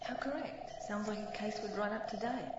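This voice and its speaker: Woman acting a sardonic woman in deep echo. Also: brisk, heavy background noise, natural voice. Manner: sarcastic, questioning. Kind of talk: audio drama acting. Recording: very echoey, distant, muffled, music behind.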